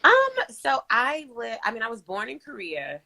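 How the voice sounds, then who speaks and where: Bright-voiced young woman. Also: fairly high pitch, moderate pace, gravelly. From Kentucky, USA. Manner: animated, laughing storyteller. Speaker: guest. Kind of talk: casual interview.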